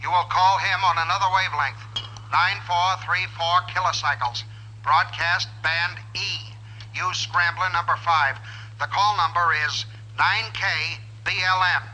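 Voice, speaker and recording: A crisp radio operator in an old film. The voice is highish and extremely sharp, procedural, coming through a radio. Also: male voice, fairly even intonation, measured pace, heavily acted, rough recording. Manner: clipped, procedural. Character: crisp, impersonal radio operator relaying instructions. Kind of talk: 1950s film acting.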